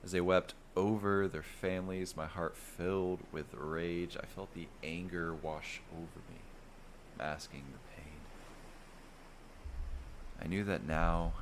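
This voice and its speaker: A man with an extremely low voice reads a fantasy bedtime tale. His delivery is measured and dramatic, like a storyteller setting a grim scene. There is some background noise under the voice. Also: fairly bright tone. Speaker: storyteller, narrator. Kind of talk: first-person bedtime fantasy story reading.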